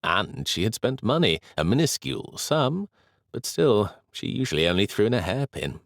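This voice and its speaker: Male narrator for a historical novel audiobook, low voice with lively intonation, dry studio sound. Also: vocal fry, no room sound. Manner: measured, expressive storytelling.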